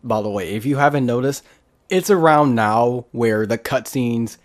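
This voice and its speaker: Casting: male let's play host, medium pitch, unscripted casual commentary. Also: moderate pace. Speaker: gaming video host.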